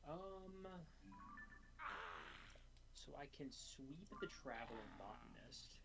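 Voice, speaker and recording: A man's voice: mid-range voice, animated, slow, creaky. Offhand young man over background noise. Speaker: streamer's friend. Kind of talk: casual chat while playing a card game. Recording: noisy background.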